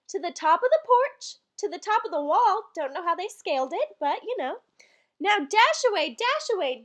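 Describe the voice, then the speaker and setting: A woman giving a theatrical, comedic reading of a holiday poem, dropping into dry asides between the dramatic lines. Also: extremely high pitch. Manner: theatrical storytelling delivery broken by dry, quieter asides. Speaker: reader.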